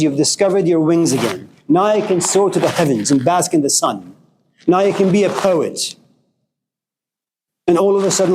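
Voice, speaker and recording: Middle-aged male lecturer from Iran, passionate, blunt, curses for emphasis; light background hiss. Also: fairly high pitch. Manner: passionate, swearing, rising to emphatic outbursts. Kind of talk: informal philosophy lecture.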